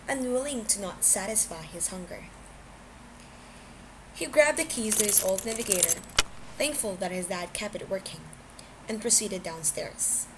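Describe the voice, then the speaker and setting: A woman narrates fiction in a mid-range voice. It sounds like an amateur home recording, with noise in the background. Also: mid-range voice. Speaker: amateur audiobook reader. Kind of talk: scripted story narration.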